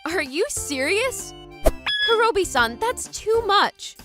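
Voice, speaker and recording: A woman's voice: sits high, animated, unhurried, sharp tone, full character voice. A woman voicing a frugal, frank young female student in a manga dub, with a sweet, polite and matter-of-fact way of talking. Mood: surprised. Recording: music behind the voice. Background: background music.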